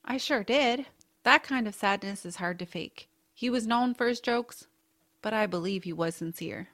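A woman playing a kindly, whimsical aunt in a family audio drama, speaking with gentle earnestness. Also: mid-range voice, normal speed, lightly acted. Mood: wistful, sincere. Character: kindly, whimsical aunt, bookish home cook.

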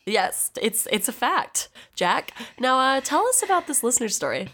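A woman's voice: sits high, sing-song, moderate pace, sharp tone. A young woman grad student co-hosting a podcast, stuffy from a head cold, joking and punning in casual chat. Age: young adult. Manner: joking, punning, laughs often. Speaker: podcast co-host.

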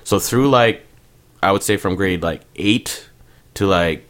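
Deep-voiced guy in his 30s, punjabi first language, loose rambly chat, lots of "like". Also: a little slow, slight background noise. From Canada. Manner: relaxed and rambling, heavy use of "like", laughs often. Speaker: music producer, guest. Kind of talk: casual podcast chat.

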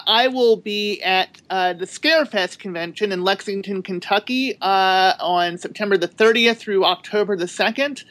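Reflective woman author, halting speech. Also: medium pitch, fairly bright tone. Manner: reflective, halting with many restarts. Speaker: guest author. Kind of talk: podcast interview.

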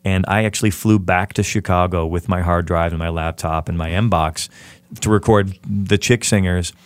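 A man interviewing a fellow musician in relaxed, talkative chat, asking long questions. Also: deep voice, no echo.

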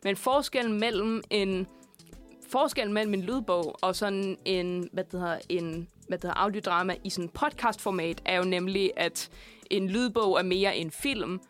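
Young woman chatting on radio, music behind. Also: medium pitch. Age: young adult. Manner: rambling, playful, informal. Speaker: student radio co-host. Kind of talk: casual radio chat. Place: radio studio. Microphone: studio microphone.

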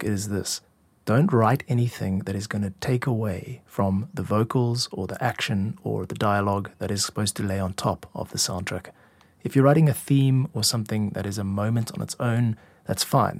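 A man's voice: fairly low pitch, a little fast, a little mellow, breathy edge, dry recording. A man giving an informal, instructive tutorial as a music producer and podcast host. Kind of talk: explanatory tutorial narration.

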